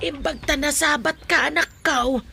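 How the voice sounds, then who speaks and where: A woman's voice: sits high, normal speed, gravelly, over loud music. An actress playing a fretful, proud mother of a grown son in a radio drama. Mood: fretful, gossipy. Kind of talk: radio drama acting in Ilocano.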